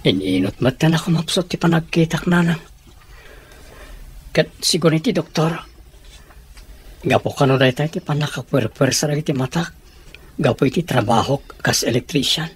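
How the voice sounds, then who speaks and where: A man's voice: fairly high pitch, normal speed, pauses often, husky. A man with an Ilocano accent playing a resigned, patient electrician father who is losing his sight, in a radio drama. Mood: weary, resigned.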